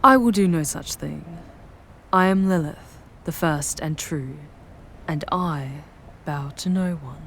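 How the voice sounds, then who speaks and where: Woman as a slow, low-voiced imperious demoness. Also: slight background noise. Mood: proud, defiant. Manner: grave, imperious, measured and formal. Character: proud, ancient demoness guiding a mortal through hell. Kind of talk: scripted ASMR roleplay, solemn poetic monologue.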